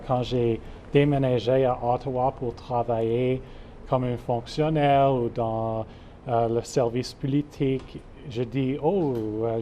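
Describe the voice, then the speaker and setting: A man from Calgary, a native English speaker with an English Canadian accent, answering interview questions carefully and haltingly in French, with frequent fillers. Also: mid-range voice, mellow, a little hiss or hum. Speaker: guest, club co-chair. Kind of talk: interview answers in a second language.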